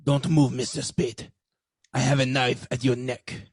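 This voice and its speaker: Man acting as waiter henchman, husky, dry sound, courteous yet sinister, radio detective drama. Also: mid-range voice, level tone, a little slow, dry recording. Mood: menacing, obedient. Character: French restaurant man, polite lackey with a menacing streak.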